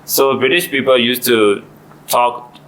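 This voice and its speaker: A man's voice: mid-range voice, steady tone, a little slow, a bit bright, slight background noise, poor audio. A man in his twenties whose first language is Burmese, answering in class with many fillers. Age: 20s. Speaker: student.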